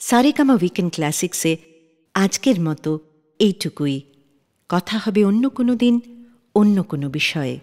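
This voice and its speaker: Female radio presenter, lively melodic delivery of scripted narration, set in long reverb. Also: mid-range voice, normal speed, long pauses. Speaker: radio show host.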